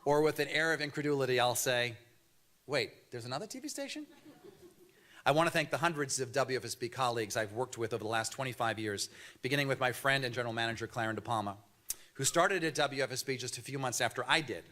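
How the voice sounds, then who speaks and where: A man in his fifties with a mild Boston accent, a TV news anchor giving a prepared award acceptance speech at a podium, earnest and measured with light storytelling humor. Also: mid-range voice, sharp tone. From Boston area, USA. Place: banquet hall podium. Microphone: podium microphone.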